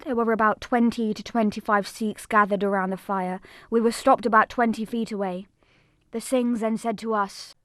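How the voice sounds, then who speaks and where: A frightened teenage girl played by a woman narrates an audio drama in a high voice. Her delivery is emotive and dramatic, recorded close and dry. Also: steady tone, normal speed, dry recording, close to an everyday voice. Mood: tense, sombre. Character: frightened fourteen-year-old girl recounting an army attack.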